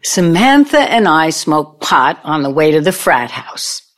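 Slow-talking bitter older ex-girlfriend woman acting it out, audio drama, needling and theatrical, voice swoops around a lot. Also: deep voice, sing-song, a bit bright, soft background music, heavily acted. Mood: dramatic storytelling. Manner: deliberate, taunting, storytelling delivery.

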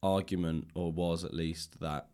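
A man's voice: deep voice, fairly even intonation, measured pace, dark tone, clear, resonant tone. A man interviewing in a casual, thoughtful, roundabout way as a podcast host. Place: library room.

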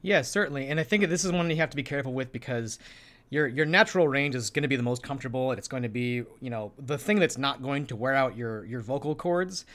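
Man, co-host, conversational instruction, middling pitch, hesitant, thinking aloud. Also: expressive, fast talker, fairly bright tone. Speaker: co-host giving tabletop role-play voice tips.

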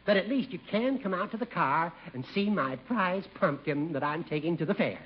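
An actor playing a genial, fussy older judge with chatty, theatrical delivery in a 1950s radio comedy. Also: male voice, high voice, lively intonation, moderate pace, slightly rough. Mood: genial, boastful. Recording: muffled, noisy old radio recording.

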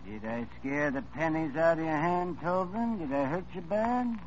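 A man's voice: on the high side, animated, normal speed, heavy background noise, close to an everyday voice. A man playing a taunting 1950s radio killer. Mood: menacing. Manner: menacing, taunting. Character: vengeful male killer taunting his victim. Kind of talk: 1950s radio drama acting. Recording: dull old radio recording.

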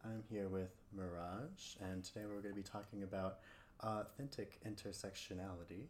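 A man's voice: fairly low pitch, fairly even intonation, moderate pace. A man hosting a conversational interview podcast, feeling his way through his questions as he asks them. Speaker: podcast host interviewing a guest. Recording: roomy echo, background room noise.

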